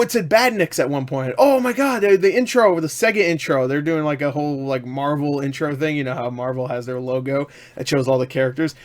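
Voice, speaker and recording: Enthusiastic man with a higher-pitched voice. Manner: enthusiastic, jokey, self-interrupting asides. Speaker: online movie reviewer and host. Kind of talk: casual, rambling movie review to camera. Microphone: webcam setup.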